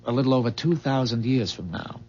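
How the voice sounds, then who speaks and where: An actor playing an earnest, secretive inventor from a bleak future in a 1970s radio drama, explaining things with hesitant, measured pauses. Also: male voice, mid-range voice, expressive, a little mellow, a little hiss or hum, close to an everyday voice. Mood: revealing. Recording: muffled 1970s radio broadcast.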